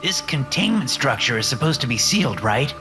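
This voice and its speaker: Guy doing higher voices for the young crew, swoopy and tense, music under it. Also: big pitch swings, fairly quick, natural voice. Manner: raised pitch for dialogue. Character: young adult characters, men and a woman.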